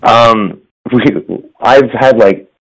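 A slightly hoarse man hosting a casual tech podcast over a phone line, rambling with fillers and restarts. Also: medium pitch, fairly even intonation, drawn-out words. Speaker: podcast host. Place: business center. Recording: phone-quality audio.

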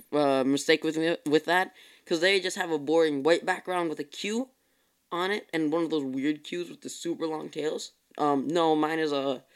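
A tired young man hosts his own casual podcast, rambling along and correcting himself as he goes. His voice sits a little high for a man and comes through smooth and clear. It is relaxed solo chat aimed straight at his listeners. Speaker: podcast host.

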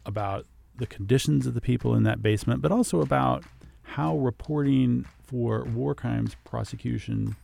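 A man's voice: medium pitch, normal speed, gravelly, dark tone. A man hosting a podcast, introducing and interviewing a guest in a friendly, conversational way with occasional ums. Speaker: podcast host.

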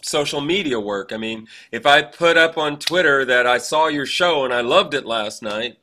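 A man's voice: medium pitch, moderate pace, bright, forceful tone, a little hiss or hum. A man co-hosting a casual, conversational interview podcast. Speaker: podcast co-host.

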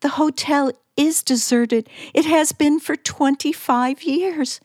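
An actress playing a frightened, pleading wife in 1940s radio suspense style, hesitant, breathless and theatrical. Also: female voice, high-pitched, slow pace, a little mellow, dry recording, clean studio sound. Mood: despairing narration. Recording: old radio drama, faint music behind.

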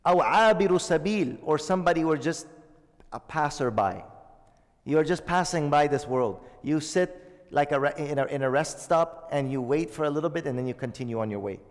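A man's voice: a little high, expressive, a little fast. Male religious teacher with a slightly raised voice, questioning his listeners, recorded in a hall with long reverb. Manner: explains Arabic terms, asks the audience questions. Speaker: Islamic teacher. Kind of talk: hadith lesson to a congregation.

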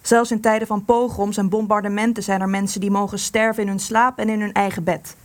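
A woman's voice: mid-range voice, flat delivery, a little fast, lightly acted. A woman playing an anxious, resentful wife in wartime hiding in a Dutch radio play, grave and disillusioned. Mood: worried.

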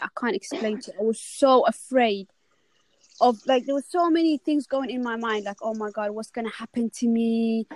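A woman's voice: a little high, no room sound, rough recording. A young woman chatting casually with a friend, asking eager questions about university. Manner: curious, eager, laughs easily. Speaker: interviewer friend. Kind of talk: casual chat between friends.

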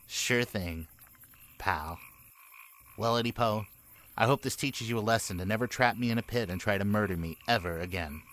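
A man's voice: fairly low pitch, moderate pace, long pauses, a bit bright, a little hiss or hum, close to an everyday voice. Man playing a melodramatic, vengeful boy villain. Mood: resigned. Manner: theatrical, grandiose. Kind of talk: comedic radio play acting.

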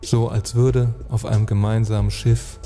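A man narrating an earnest, reflective spoken essay, phrasing deliberately and emphatically with rhetorical weight. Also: on the low side, flat delivery, warm tone. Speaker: speaker and author on climate and sustainability. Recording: long reverb, music underneath. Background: music.

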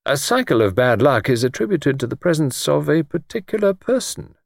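A man's voice: fairly high pitch, very animated, fairly quick, vocal fry, no room sound, studio quality, voice pushed up, exaggerated voice. A man voicing a polite but outspoken younger English man, slightly nervous and punctilious. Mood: tentative. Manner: punctilious, blunt.

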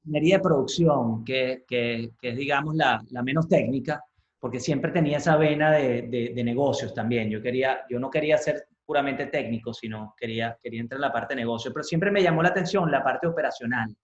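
A man from Caracas with a Venezuelan accent, telling his story in a casual interview, haltingly, repeating words and slipping in English ones. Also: mid-range voice. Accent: Venezuelan Spanish. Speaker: interview guest, business professional. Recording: roomy echo, slightly dull lo-fi sound.

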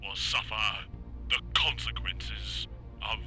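A man playing a menacing villain warlord in a sci-fi audio drama, speaking in a grandiose, deliberate manner. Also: fairly low pitch, steady tone, loud music behind the voice. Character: menacing male villain warlord delivering a threatening ultimatum.

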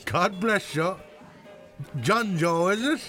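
An actor playing a wheedling, thankful old Irish barfly in a radio drama. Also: male voice, high voice, takes it slowly, sharp tone, soft background music, exaggerated voice. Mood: grateful, merry. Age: older.

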